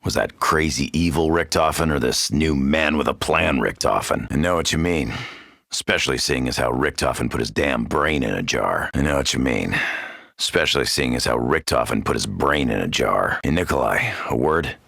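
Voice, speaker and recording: An American man playing a gruff, cocky, wisecracking macho soldier in a zombie video game, with a gravelly voice. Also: a bass voice, normal speed, fairly bright tone, dry recording, studio quality, exaggerated voice. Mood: wary, confiding. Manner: brash, swaggering, wisecracking. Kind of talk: video game character voice lines.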